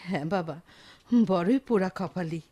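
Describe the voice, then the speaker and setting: A woman's voice: lowish voice, moderate pace, warm tone, breathy edge. An actress in a Bengali radio drama playing a doting, fretful grandmother with a rural Bangladeshi accent, fussing and prayerful. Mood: chatty, caring.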